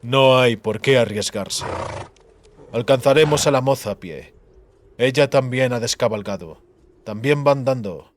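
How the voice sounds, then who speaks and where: A man voicing a cold, calculating bounty hunter, commanding and deliberate, in a fantasy audiobook. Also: medium pitch, slight background noise, natural voice. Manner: deliberate, brooding, murmuring.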